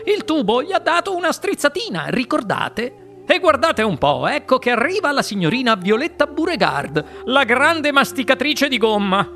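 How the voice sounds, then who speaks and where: A narrator voicing an eccentric, playful male candy maker in an audiobook, with a lively, theatrical delivery. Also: moderate pace, exaggerated voice. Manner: lively, theatrical, raised pitch. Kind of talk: audiobook character voice.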